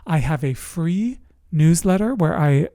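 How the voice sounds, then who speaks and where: A resilience coach telling personal stories in a motivational talk, speaking earnestly and deliberately in short phrases with pauses. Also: medium pitch, expressive, mellow, dry recording, clean studio sound. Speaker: host, emotional resilience coach.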